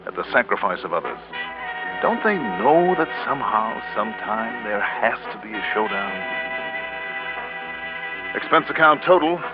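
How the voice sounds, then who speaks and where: An actor playing a hard-nosed, steady insurance investigator in an old radio detective drama, speaking in a measured, probing way. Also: male voice, lowish voice, loud music behind the voice. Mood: reflective. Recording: narrow-band old radio recording.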